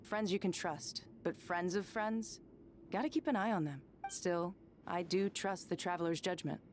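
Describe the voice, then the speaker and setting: A woman's voice: on the low side, normal speed, darkish tone, slight background noise, lightly acted. A woman playing a guarded, streetwise young desert mercenary in a video game, frank and laid-back. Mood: frank, wary.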